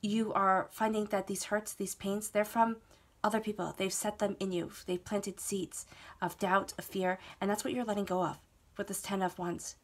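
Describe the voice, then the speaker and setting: A woman giving a tarot reading on her own, her voice stuffed up from a cold. She speaks in a steady, reassuring way, circling back over phrases and pausing to check in with the listener. Her pitch sits in an ordinary middle range for a woman. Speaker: tarot reader. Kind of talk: solo tarot reading to camera.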